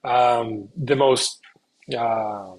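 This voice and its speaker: A young man from Spain, a guest in an interview, explaining things earnestly in a casual way and often pausing on "uh". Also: medium pitch, fairly even intonation. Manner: earnest, explaining, frequent hesitations. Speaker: guest, content creator.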